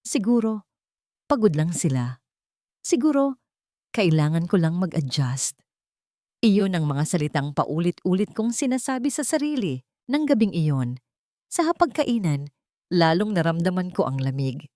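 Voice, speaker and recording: A woman with a fairly low voice tells a first-person drama. Her delivery is scripted, sorrowful and emotive, with measured phrasing. The sound is very dry, free of room echo. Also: very animated, mellow. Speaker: audio story narrator.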